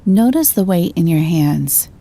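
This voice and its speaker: Mellow, low-voiced woman guiding meditation. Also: unhurried, gravelly. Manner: gentle, calm, soothing delivery. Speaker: meditation guide. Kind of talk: scripted guided meditation narration.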